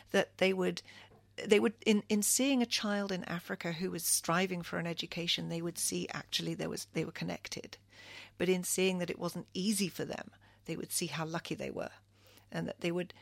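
Low, gravelly-voiced woman guest. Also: no echo. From Kenya. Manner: thoughtful, earnest, frequent restarts. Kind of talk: reflective radio interview.

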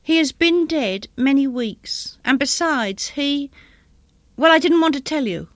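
A weary old husband in a storybook tale, played by a woman narrator. He sounds grave and fearful, reluctant and shaken, with a fairly high voice. The recording is dry. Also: animated, a little slow, no room sound. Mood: shaken reluctance.

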